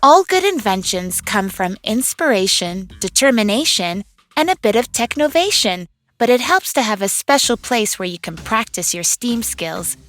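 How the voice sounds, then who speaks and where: A woman's voice: mid-range voice, very animated, normal speed, a bit bright. A woman voicing an upbeat, confident young girl inventor, telling a children's read-along cartoon story in an enthusiastic, encouraging way. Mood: cheerful, proud. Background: music under the voice.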